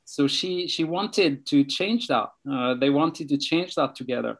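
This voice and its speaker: A man with a Swiss accent, speaking over a video call about his charity work, slow and halting with many pauses. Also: a little high, lively intonation, gravelly. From Switzerland. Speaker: charity treasurer, guest speaker. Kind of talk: casual talk on a video call. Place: home near Belfast.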